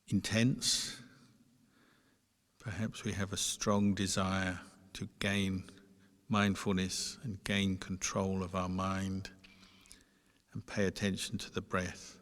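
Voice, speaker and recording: A man teaching meditation, giving guided instruction in a measured way with pauses between short phrases. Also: deep voice, level tone, a bit bright. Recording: long reverberant hall sound.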